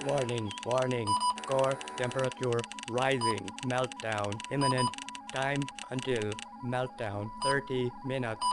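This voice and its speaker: A woman voicing a calm, emotionless computer warning system in a game cutscene. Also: rumbling low. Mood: impassive. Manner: measured, emotionless. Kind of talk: automated announcement in game. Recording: loud music over voice. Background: loud music.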